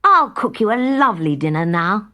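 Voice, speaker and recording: Woman as an eager storybook cook, swooping pitch. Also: big pitch swings, brisk, bright, forceful tone. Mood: obliging, cheerful. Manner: deferential, eager. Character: eager, flustered, obliging thin male cook. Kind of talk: storybook character voice.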